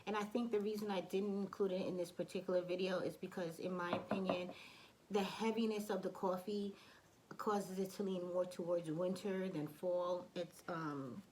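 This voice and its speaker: A woman vlogger giving a chatty fragrance review, hoarse from allergies and coughing now and then, with many fillers and restarts. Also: lowish voice, fairly even intonation, moderate pace, darkish tone, slight background noise, poor audio. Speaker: beauty vlogger. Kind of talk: casual product review.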